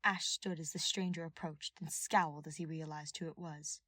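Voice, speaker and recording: A woman reading scripted fiction aloud as an expressive audiobook narrator. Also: low voice, moderate pace, dry recording.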